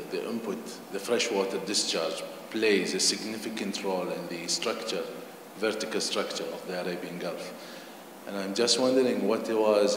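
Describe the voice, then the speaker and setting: A man from Kuwait in the audience politely asking a researcher a technical question. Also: low-pitched. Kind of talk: conference Q&A question. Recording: far from mic, echoey hall, background noise.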